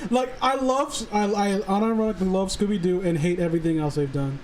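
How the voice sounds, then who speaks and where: A man with a high voice talks in loose, casual conversation. He is blunt, opinionated and swears freely. Noticeable noise sits behind his voice. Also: brisk, a little mellow. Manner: profane, opinionated. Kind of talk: casual chat. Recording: clearly noisy background.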